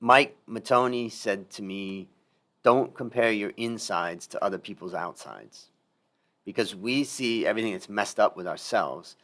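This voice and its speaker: A middle-aged man with a fairly low voice hosts a podcast conversation. He talks in a reflective, emphatic way and comes back to his main points more than once. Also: a little slow, a bit bright. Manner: reflective, emphatic, repeats key phrases. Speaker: podcast host. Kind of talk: casual interview talk.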